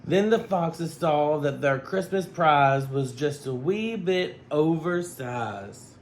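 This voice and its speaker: A man's voice: fairly high pitch, expressive, takes it slowly, roomy sound, a little hiss or hum. A man reading a rhyming picture book aloud as a teacher, rhythmically, with asides to his listeners.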